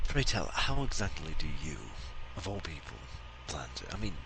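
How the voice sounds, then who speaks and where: A man's voice: sits low, big pitch swings, normal speed. A man playing a dry, haughty schemer in a tabletop game, speaking in wordy, formal, sardonic phrases. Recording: clearly noisy background.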